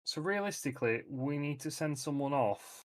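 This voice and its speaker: A man's voice: a little high, lively intonation, moderate pace. A British man playing a tabletop game, bantering casually in a blunt, sweary, joking manner. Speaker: player in a tabletop roleplaying game.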